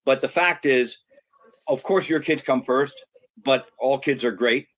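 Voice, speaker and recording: A man's voice: medium pitch, measured pace, fairly bright tone. Middle-aged american guy on a podcast, earnest, keeps restarting his sentences. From United States. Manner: earnest and emphatic, with frequent restarts. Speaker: guest, youth sports consultant. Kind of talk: podcast interview talk.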